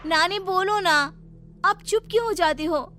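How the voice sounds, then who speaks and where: A woman's voice: very high voice, unhurried, sharp tone, a little hiss or hum, pitched above the performer's own voice, heavily acted. A woman voicing a worried young granddaughter, pleading and curious, in a cartoon story. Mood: puzzled, insistent. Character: Seema, frightened young granddaughter visiting the village, pleading, curious.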